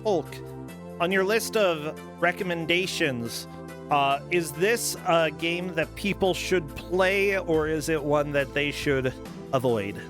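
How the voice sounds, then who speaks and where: A man's voice: on the high side, slow pace, music in the background. A man co-hosting a casual chat about a game, playful and enthusiastic. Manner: playful, enthusiastic, joking asides.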